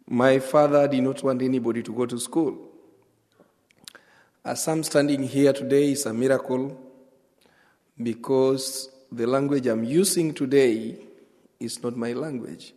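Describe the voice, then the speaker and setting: A man with a Kenyan accent, whose first language is Luo, giving his testimony as a guest pastor, speaking earnestly with pauses and restarts. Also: mid-range voice, steady tone, mellow. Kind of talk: church testimony. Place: church sanctuary. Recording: echoey church hall.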